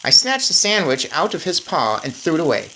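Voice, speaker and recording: A woman with a low voice reads a children's story aloud. Her delivery is highly animated and drops into informal asides, with faint noise in the room behind her. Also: fairly quick, poor audio. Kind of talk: expressive children's book reading with informal asides. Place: indoors in an attic.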